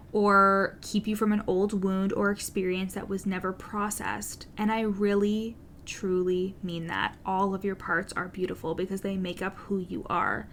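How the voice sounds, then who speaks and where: A woman coach with a stutter talks straight to her listeners in a solo podcast episode, her manner earnest and direct, now and then swearing for emphasis. Her voice sits at a medium pitch for a woman. A faint low rumble hums under the recording. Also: a bit bright. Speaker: business coach, podcast host.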